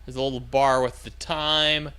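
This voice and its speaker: A man's voice: mid-range voice, level tone, pushed and bright, slight background noise. A man chatting casually on a ham radio livestream as its host, in an agreeable, jokey manner. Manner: agreeable, jokey, quick to chuckle. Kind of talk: casual livestream chat about amateur radio.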